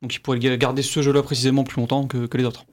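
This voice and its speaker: Guy on a boardgame panel explaining stuff, talks pretty fast. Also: medium pitch, little pitch movement. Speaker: panelist on a board game show. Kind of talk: casual explanation.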